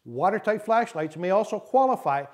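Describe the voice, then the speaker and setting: A man with a somewhat higher-pitched voice narrates instructional material. He sounds like an instructor working from a script, setting out each point in a measured, didactic manner. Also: animated.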